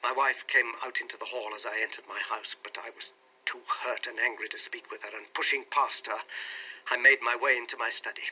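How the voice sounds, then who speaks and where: A man's voice: medium pitch, steady tone, a little fast, sharp tone, a little hiss or hum, low-quality recording. A man playing an anguished, suspicious husband who recounts his troubles in an intense, earnest audiobook reading. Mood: bitter, hurt. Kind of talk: dramatic audiobook reading in character.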